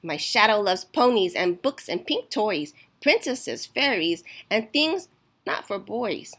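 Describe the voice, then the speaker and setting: A woman reading a rhyming children's picture book aloud in a deliberate, expressive storytelling way. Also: mid-range voice, breathy edge. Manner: slow, deliberate, emphatic storytelling. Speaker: storytime reader.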